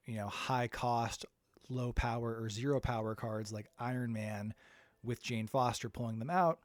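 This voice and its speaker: A man's voice: medium pitch, no echo. A man with a nasal voice, stuffy from a cold, giving an upbeat, chatty card game strategy guide with plenty of fillers. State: under the weather, stuffy. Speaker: card game content creator.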